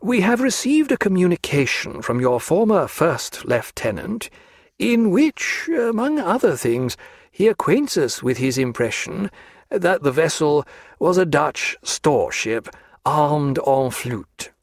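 A man voicing a fussy, polite government clerk who speaks slowly and apologetically. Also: on the high side, big pitch swings, no echo, clean studio sound, pitched above the performer's own voice, full character voice.

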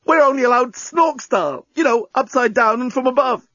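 A man voicing a melodramatic male alien journalist, wounded, whiny and excitable, in a comic audiobook. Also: very high voice, moderate pace, dry recording, muffled recording, pitched above the performer's own voice, heavily acted. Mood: agitated, thrilled.

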